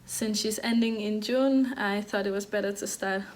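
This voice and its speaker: A woman's voice: mid-range voice, moderate pace. A woman in her thirties from Denmark, hosting a knitting podcast in a casual, hesitant way, with many fillers and restarts. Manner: even, flat delivery. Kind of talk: casual chat.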